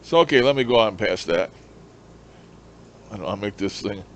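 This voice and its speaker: A man's voice: fairly low pitch, normal speed. A man gives a casual, unscripted demonstration as a hobbyist, explaining things and repeating himself. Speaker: amateur radio hobbyist, presenter. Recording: clear steady background noise.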